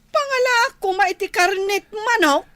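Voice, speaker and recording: A woman with a Filipino accent acting a poor, put-upon wife in a radio drama, plaintive and weary with sighing, drawn-out complaints. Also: very high voice, expressive, bright, forceful tone, heavily acted. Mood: exasperated.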